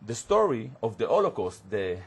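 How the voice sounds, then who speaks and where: Halting man, Hebrew native speaker. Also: lowish voice, very animated, husky. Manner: halting, searching for words, many fillers. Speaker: panel guest. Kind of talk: studio panel discussion. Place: community TV studio.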